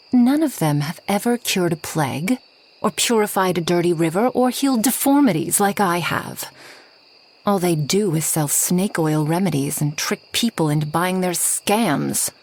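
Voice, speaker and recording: A woman playing a reclusive, ageless forest witch in a soft ASMR roleplay, gentle and wry, often slipping toward a whisper. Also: lowish voice. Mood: proud, irritated. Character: reclusive, centuries-old kind but proud forest witch.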